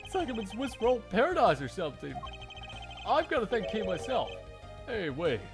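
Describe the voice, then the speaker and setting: Man doing a loud, swooping, goofy eager detective. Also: high-pitched, wildly swooping pitch, moderate pace, over music, voice pushed up, heavily acted. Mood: excited, grateful. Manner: informal, gushing, tacks "pal" onto sentences. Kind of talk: anime game fandub character acting.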